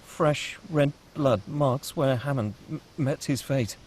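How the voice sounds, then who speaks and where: A man with a husky voice reading scripted screenplay action as the narrator of a table read. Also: medium pitch, takes it slowly, darkish tone, slight background noise.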